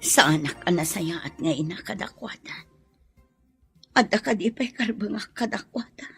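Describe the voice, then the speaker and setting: An actress playing a sorrowful mother who pleads and longs for her children in an Ilocano radio drama, breathy and husky. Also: mid-range voice, expressive, normal speed, faint music behind. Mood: pleading.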